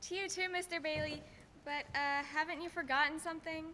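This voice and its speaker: A woman's voice: high-pitched, fairly even intonation, fairly quick, pushed and bright. A woman playing a polite, prompting bank teller in an old-time radio play. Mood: politely prompting. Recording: faintly noisy, reverberant hall.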